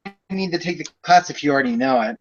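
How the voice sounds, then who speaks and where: A man's voice: on the high side, expressive, fast, highs cut off, faint music behind. A young man in his twenties, a student chatting casually with classmates about courses on a video call, rambling through explanations with lots of fillers. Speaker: student in a group project.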